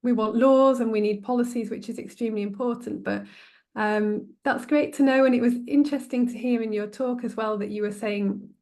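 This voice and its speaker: A woman's voice: fairly high pitch, steady tone, brisk, a little mellow. A woman moderating a webinar, encouraging and conversational as she responds and relays questions from the audience.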